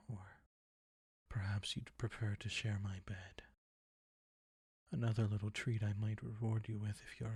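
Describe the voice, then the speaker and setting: A very husky, breathy vampire count, acted by a man, speaks slowly and deliberately, close and dry on the mic. He is seductive and predatory, silkily teasing and possessive, often near a whisper, as in an intimate audio roleplay. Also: on the low side, dark tone, dry recording, heavily acted. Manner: slow, deliberate, silky teasing, commanding.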